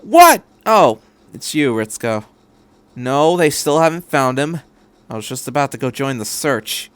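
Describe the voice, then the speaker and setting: A man's voice: mid-range voice, big pitch swings, unhurried, fairly bright tone, smooth, dry recording. A man reading fan fiction aloud as an impatient, commanding woman captain, barking and exasperated in clipped phrases. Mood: angry, exasperated.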